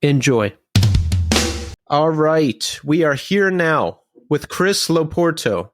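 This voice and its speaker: An upbeat man hosting a podcast, his voice sitting a bit higher than most. He talks in a chatty, easygoing way. Faint guitar music plays underneath. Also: lively intonation, slow pace, dry recording, clean studio sound. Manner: upbeat, chatty, laughs easily. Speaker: podcast host. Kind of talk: podcast interview with ad read.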